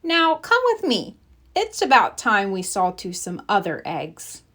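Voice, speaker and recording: A woman voicing a calm, devout grandmother in a storybook, patiently explaining and reassuring. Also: medium pitch, measured pace, full character voice. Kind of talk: storybook read-aloud character voice.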